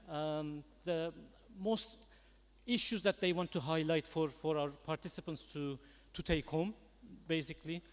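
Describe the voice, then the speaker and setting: A man chairing a conference session, speaking politely and hesitantly in semi-formal, unscripted remarks. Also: a little high. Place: large conference hall. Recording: echoey hall with long reverb.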